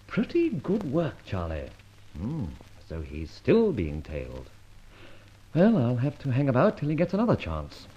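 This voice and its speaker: An actor playing a debonair, breezy gentleman adventurer in an old radio serial, with brisk, clipped, theatrical speech. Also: mid-range voice, wildly swooping pitch. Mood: musing. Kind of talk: old radio serial acting and first-person narration. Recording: dull vintage radio recording, music behind.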